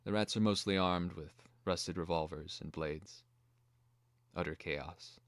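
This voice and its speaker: A man narrating a screenplay as a scripted dramatic reading, in a measured way. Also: low voice, dry recording.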